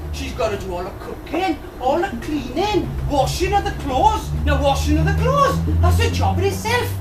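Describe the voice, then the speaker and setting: A woman playing Buttons, a cheeky, chatty, lovelorn Welsh servant lad in a pantomime, rambling colloquially to the audience. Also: high-pitched. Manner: colloquial, rambling, tag questions. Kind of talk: British pantomime, bantering with the audience. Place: tavern stage performance. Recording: distant, echoey amateur video with crowd noise.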